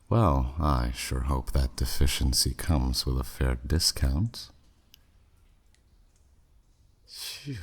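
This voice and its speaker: Man voicing a very deep, husky, sardonic demon buyer. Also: exaggerated voice. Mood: probing, interested. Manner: sardonic, drawling, dismissive. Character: flirty, haughty, skeptical male demon buyer. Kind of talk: scripted ASMR audio roleplay.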